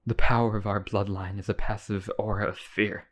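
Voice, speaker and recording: A man's voice: lowish voice, little pitch movement, normal speed, natural voice. A man playing a lonely, obsessive vampire brother in a boyfriend roleplay audio drama, earnest and coaxing. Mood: wounded, sorrowful.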